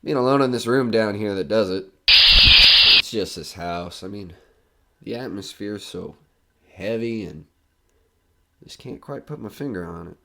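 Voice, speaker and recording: A man's voice: fairly low pitch, lively intonation, a little slow, gravelly, pauses often, pushed and bright, slight background noise, heavily acted, rough recording. A man playing a nervous, skeptical broadcaster alone in a haunted house in an audio horror drama, rambling and joking anxiously. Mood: jumpy, confiding.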